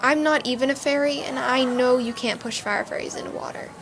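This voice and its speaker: A woman's voice: high voice, steady tone, brisk, fairly bright tone, a little hiss or hum. A woman acting a blunt, scolding young peacemaker in an amateur fantasy roleplay drama. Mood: disapproving. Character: bossy, exasperated young woman playing reluctant peacemaker.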